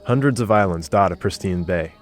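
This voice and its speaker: A man tells a radio story in a measured, scripted way. His voice sits very low. Faint music plays behind him. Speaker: reporter narrating.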